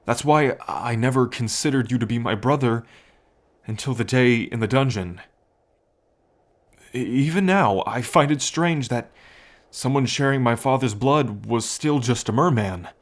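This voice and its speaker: A man voicing a solemn dragon of royal blood, an older half-brother who explains things in a measured way in an ASMR story roleplay. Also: medium pitch, close to an everyday voice. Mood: grave, pondering.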